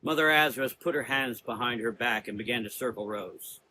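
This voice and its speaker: A man narrates a gothic story from a script. His tone is bright and forceful, and clear ambient noise runs behind him. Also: medium pitch, moderate pace, rough recording.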